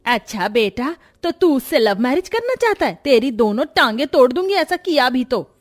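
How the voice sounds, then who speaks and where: A woman voicing a bossy, scolding middle-aged mother-in-law in a cartoon, sharp-tongued and commanding. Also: sits high, big pitch swings, normal speed. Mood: threatening. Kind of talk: cartoon voice acting.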